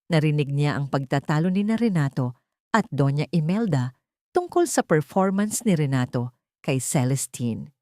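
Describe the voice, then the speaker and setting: A woman's voice: sits low, big pitch swings, moderate pace, a little mellow, no echo, clean studio sound. A woman reading a scripted, dramatic audio story as its narrator.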